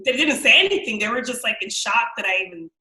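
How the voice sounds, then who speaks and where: A young woman with a New York accent, telling stories as a podcast guest in an animated, slangy way. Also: fairly high pitch, fast, reverberant, poor audio. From New York, raised in Miami. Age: young adult. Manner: animated, slangy, quotes people in voices. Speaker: guest actress. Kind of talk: casual storytelling chat.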